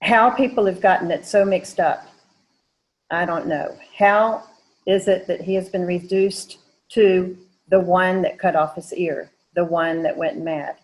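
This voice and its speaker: A woman's voice: fairly low pitch, steady tone, a little slow, poor audio. A woman in her sixties from Georgia with a Southern American accent, giving an earnest talk as a painter, with frequent ums. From Georgia, USA. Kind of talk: prepared talk.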